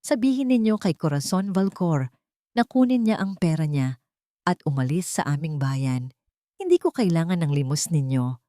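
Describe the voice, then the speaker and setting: A woman's voice: low-pitched, lively intonation, moderate pace, warm tone, no room sound, studio quality. A woman narrator voicing a hurt, defiant young woman in an audiobook drama, speaking firmly and emphatically. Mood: resolute, defiant. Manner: firm, emphatic.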